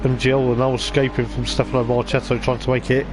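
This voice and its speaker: Man, hoarse, race commentary, rambling with fillers, noisy audio. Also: mid-range voice, flat delivery, quick pace. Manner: rambling, filler-heavy, hesitant. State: voice going hoarse. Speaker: sim racing league commentator. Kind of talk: live race commentary on a stream.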